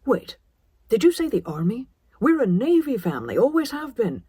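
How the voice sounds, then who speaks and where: A woman voicing a stern, proud, traditional middle-aged father in an audiobook, sharp and emphatic. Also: on the high side, sing-song, moderate pace, slightly rough, no room sound, pitched above the performer's own voice. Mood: sharp, indignant.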